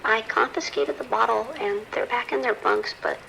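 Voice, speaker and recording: A woman's voice: a little high, normal speed, gravelly, bright, forceful tone, slight background noise, full character voice, low-quality recording. A woman playing an earnest, hesitant camp counselor making a report in an audio drama. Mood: hesitant, concerned. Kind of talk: naturalistic audio drama acting.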